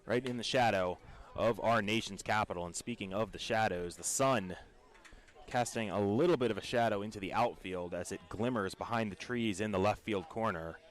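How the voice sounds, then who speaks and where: A man with an American accent calling baseball play-by-play for radio in a measured, descriptive storytelling way. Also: on the low side. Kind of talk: radio sports commentary.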